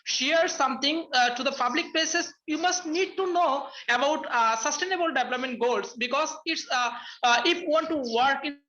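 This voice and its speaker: A woman's voice: sits high, moderate pace, few pauses, pushed and bright, muffled recording. A young woman from Bangladesh, a Bengali speaker with a Bangladeshi accent, giving hesitant webinar remarks full of fillers and restarts over a video call. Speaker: webinar panelist. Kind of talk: halting spoken remarks in a webinar.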